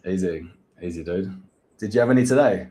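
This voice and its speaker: A man's voice: low voice, brisk, mellow. A man hosting a live-stream Q&A about getting hired, blunt and coaching, calling people "bro". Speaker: host, recruitment agency owner.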